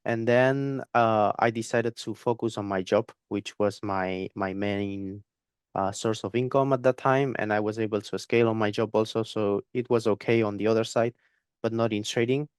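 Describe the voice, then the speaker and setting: Smooth-voiced man, hesitant. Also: fairly low pitch, steady tone, normal speed, dry recording, clean studio sound. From Spain. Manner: hesitant, repeats words, many fillers. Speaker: guest trader. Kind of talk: interview conversation.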